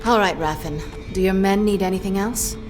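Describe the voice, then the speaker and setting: A woman's voice: medium pitch, moderate pace, close to an everyday voice. A woman playing a hard-edged pirate captain in a video game, cool, curt and commanding. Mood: brisk, commanding. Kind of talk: dramatic video game acting. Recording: clear music behind the voice.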